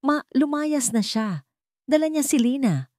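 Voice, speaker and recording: A woman's voice: high-pitched, animated, measured pace, darkish tone, no echo, clean studio sound, voice pushed up. Woman voicing an arrogant, blustering Filipino husband. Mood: anxious. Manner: scornful, blustering. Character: arrogant, bullying husband, adult man.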